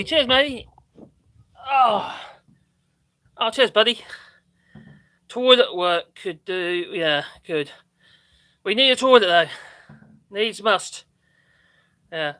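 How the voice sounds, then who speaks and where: A man's voice: fairly high pitch, pushed and bright. A man in his fifties with a Norfolk accent, chatting casually and rambling on a live stream, laughing often. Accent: Norfolk English. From Norfolk, England. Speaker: livestream guest. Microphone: camera on tripod.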